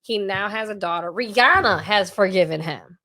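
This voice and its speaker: A woman's voice: medium pitch, moderate pace, pushed and bright. A woman in her thirties talking candidly as a podcast guest, earnest and rambling, often asking "you know what I'm saying". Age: 30s. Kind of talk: candid conversational talk.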